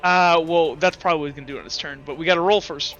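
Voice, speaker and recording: A young man running a tabletop game as game master, narrating scenes and explaining rules in a halting way with many fillers and restarts. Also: a little high, fairly bright tone, lo-fi sound, faint music behind.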